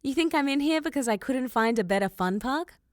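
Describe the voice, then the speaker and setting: Woman acting a cocky girl pilot, higher-pitched, breezy, sarcastic, slangy, impatient, audiobook dialogue, dry close sound. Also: lively intonation, fairly quick, dry recording. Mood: wry, sarcastic.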